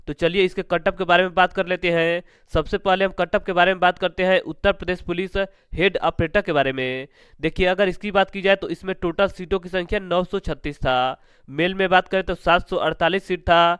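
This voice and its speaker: A man's voice: high voice, a bit bright, clean tone, no room sound, studio quality. A man presenting information to students as an exam-prep host, in a repetitive, formulaic way, asking questions and answering them himself.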